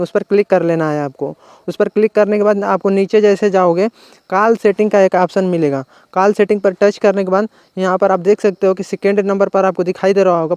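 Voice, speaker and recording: An Indian man hosts an informal tech tutorial in a high, smooth voice. He explains step by step, often restating an instruction in simpler words. The recording is close and dry, with very little room sound. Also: a little mellow, no echo. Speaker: tech channel host. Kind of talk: informal phone tutorial narration.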